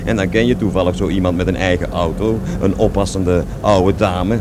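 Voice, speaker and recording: Guy acting a sore, rambling man in a radio play, kinda deep, keeps correcting himself, lots of noise behind. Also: fairly even intonation, moderate pace, close to an everyday voice. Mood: grumbling. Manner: digressive, self-correcting, repeats words emphatically. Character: aggrieved, rambling man recalling his overbearing father. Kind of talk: radio play dialogue, conversational storytelling.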